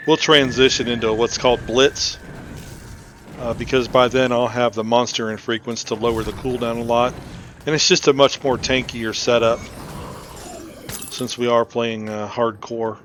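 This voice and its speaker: A man's voice: medium pitch, little pitch movement, moderate pace, fairly bright tone, slight background noise. A man hosting a playthrough, giving casual, informal commentary on his game. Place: voice-over on game footage, music faintly behind.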